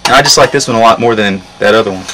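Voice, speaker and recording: A man's voice: fairly low pitch, very fast. A young man giving an informal, step-by-step how-to narration outdoors, recorded on a camera mic. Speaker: hobby metalworker demonstrating. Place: outdoor work yard. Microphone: camera mic a short distance away. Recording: noisy outdoor camcorder audio.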